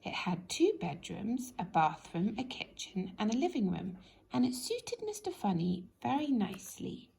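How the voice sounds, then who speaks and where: A woman reading a children's story aloud in an expressive, animated way, speaking directly to young listeners. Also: medium pitch, moderate pace, gravelly, a little hiss or hum, rough recording. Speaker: storybook reader.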